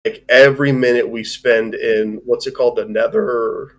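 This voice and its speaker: A man in a casual film discussion, speaking at a middling pitch. He rambles as he goes, stopping and restarting his thoughts, and swears freely. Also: fairly even intonation. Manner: rambling, halting, often swearing. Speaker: movie review show host.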